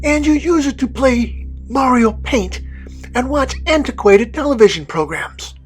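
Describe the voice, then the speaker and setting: A woman's voice: high voice, animated, a little slow, a little mellow, faint music behind. A woman playing an imperious, condescending Prince of Darkness in a scripted comedy podcast skit. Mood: scornful, impatient. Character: haughty, scornful Prince of Darkness, demanding master.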